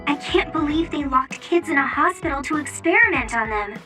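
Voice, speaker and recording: Compassionate young woman comrade: very high, emotional, anime game, music behind. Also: animated, brisk, distant mic. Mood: indignant sympathy. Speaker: video game voice actor. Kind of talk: anime game cutscene dialogue.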